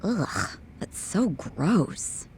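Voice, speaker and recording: A woman's voice: on the low side, sing-song, drawn-out words, a little mellow, slight background noise, heavily acted. An actress playing a sassy, blunt young woman in an English game dub, slangy and teasing. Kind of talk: English video game voice acting.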